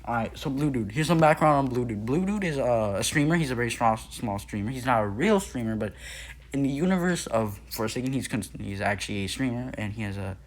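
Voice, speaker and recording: A man's voice: mid-range voice, expressive, fairly quick. A young man talking casually in a video, rambling with many fillers, restarts and self-corrections. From Nepal. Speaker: youtuber. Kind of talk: casual lore video narration.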